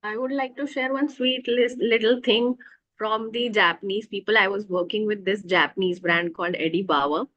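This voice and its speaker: A woman's voice: mid-range voice, steady tone, moderate pace, a bit bright, dry recording, dull audio. A woman at a club meeting chattily sharing a short story, with many fillers. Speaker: meeting participant. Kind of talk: personal anecdote.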